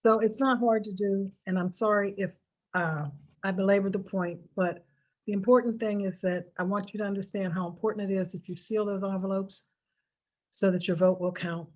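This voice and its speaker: An American woman speaks in a medium-pitched voice, walking listeners through a procedure step by step. She is informal but emphatic, leaning on key words and repeating the important points so they are understood. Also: fairly even intonation, normal speed, a little mellow, poor audio. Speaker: presenter of a voter instruction video. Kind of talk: informal step-by-step public instruction.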